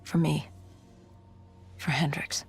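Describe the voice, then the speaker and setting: An actor voicing a grim, augmented soldier in a video game cutscene, narrating in a somber, measured, clipped way with a breathy, gravelly edge. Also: mid-range voice, little pitch movement, soft background music, heavily acted. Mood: grim, reflective. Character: grim cybernetically augmented soldier recounting a past disaster.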